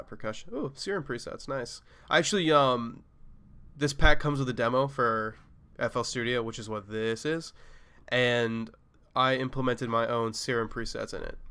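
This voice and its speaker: A man with a nasal voice from a cold, giving a laid-back, casual review of a sample pack with short excited reactions. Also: medium pitch, clean tone. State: sick with a cold. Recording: music playing behind.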